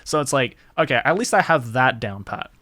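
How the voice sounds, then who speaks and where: A man's voice: mid-range voice, fairly quick, no echo. Candid young man, dry close sound. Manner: candid and animated, swears freely, lots of "like". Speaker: host. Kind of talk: casual interview chat.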